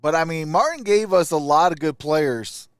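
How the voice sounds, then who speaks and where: Guy doing casual sports livestream commentary, smooth voice on the higher side, full of opinions. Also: moderate pace, no room sound, studio quality. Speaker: live stream host. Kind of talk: casual sports commentary, answering viewer chat.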